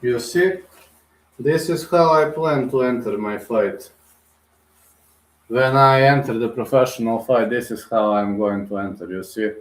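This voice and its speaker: A man talks straight to his livestream viewers, calling them guys and answering their comments bluntly in English. His voice sits at an ordinary middle pitch, and a roomy echo hangs around every line. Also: measured pace. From Bulgaria. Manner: direct, blunt, addresses viewers as guys, non-native phrasing. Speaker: livestream host.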